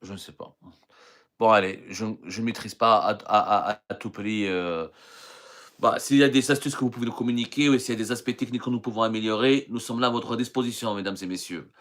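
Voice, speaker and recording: A man's voice: on the low side, fairly even intonation, a little fast, fairly bright tone. A middle-aged man from Algeria, a journalist hosting a live stream and answering viewer questions in an earnest way, with frequent hesitations and restarts.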